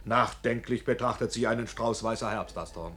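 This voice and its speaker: A man's voice: fairly low pitch, normal speed, fairly bright tone, lightly acted. Actor as a florid, sardonic showman narrator. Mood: florid, mock-grand. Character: florid, sardonic male showman presenting scenes. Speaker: announcer in a radio play. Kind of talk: theatrical scripted narration.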